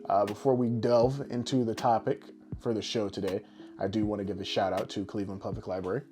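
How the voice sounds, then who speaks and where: A man's voice: lowish voice, fairly even intonation, quick pace, warm tone, faint music behind. A man co-hosting a podcast with casual, jokey, rambling showman patter. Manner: boisterous, rambling, tongue-in-cheek showman patter. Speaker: podcast co-host.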